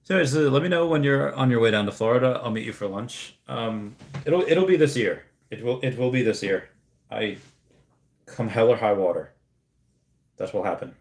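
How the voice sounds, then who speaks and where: A man's voice: mid-range voice, animated, moderate pace, reverberant. A man in his thirties hosting a casual livestream, talking in a rambling way with many restarts and reading out viewer comments. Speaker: streamer host.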